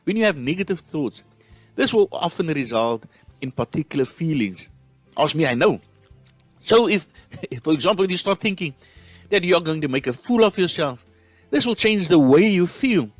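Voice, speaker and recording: A man's voice: fairly high pitch, expressive, moderate pace, no echo, faint music behind. A man with a South African accent hosting a motivational podcast, speaking in an earnest, encouraging way. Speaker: podcast host.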